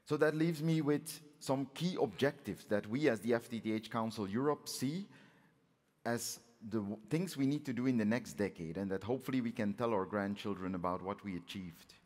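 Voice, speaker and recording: A man in his forties giving a measured, explanatory keynote speech at a conference, as the president of an organisation. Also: on the low side, darkish tone. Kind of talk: prepared conference speech with slides. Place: on stage in a large conference auditorium. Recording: reverberant large hall sound.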